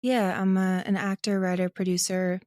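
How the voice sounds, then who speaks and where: A woman's voice: mid-range voice, little pitch movement, moderate pace, a little mellow, no echo, clean studio sound. An American woman from Ohio giving a candid, reflective and casual interview as a creator. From Ohio, United States. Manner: candid, self-reflective, laughs while talking. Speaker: interview guest, audio drama creator.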